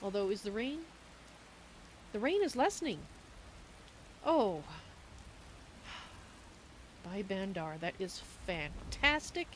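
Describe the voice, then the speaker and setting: A woman voicing a warm-hearted Khajiit cat-woman adventurer in a video game roleplay, speaking of herself in the third person. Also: mid-range voice, big pitch swings, slow, long pauses, a little hiss or hum. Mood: cheerful. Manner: refers to self in third person. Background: game rain ambience.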